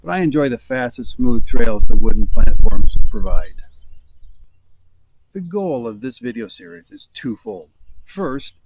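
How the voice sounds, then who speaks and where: A man's voice: mid-range voice, a little mellow, slight background noise. A middle-aged man with an American accent narrating his long-distance hike in a wry, understated storytelling voice. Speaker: hiking vlogger. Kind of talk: scripted travel narration.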